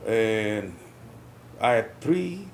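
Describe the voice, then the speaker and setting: An older man from South Texas recalling his youth in a halting way, often repeating words. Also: on the low side, animated, gravelly, darkish tone, slight background noise. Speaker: interviewee, veteran. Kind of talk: casual recollection.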